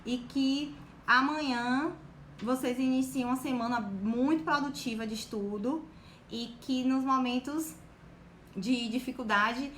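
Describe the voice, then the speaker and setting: A woman's voice: sits high, measured pace. A young woman from Bahia with a Bahian Portuguese accent, giving an encouraging casual talk to her live-stream viewers and filling her sentences with little check-ins. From Bahia, Brazil. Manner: warm, encouraging, full of fillers like "né" and "tá". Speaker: guest on a live stream about exam preparation. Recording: echoey room with faint background noise.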